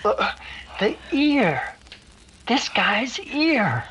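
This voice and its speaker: A slangy, astonished radio-mystery bystander voiced by a woman. The delivery is high and halting, extremely slow, with faint noise and clicks on the recording. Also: big pitch swings, a bit bright, heavily acted. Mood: dumbfounded. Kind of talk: 1930s radio comic-mystery acting.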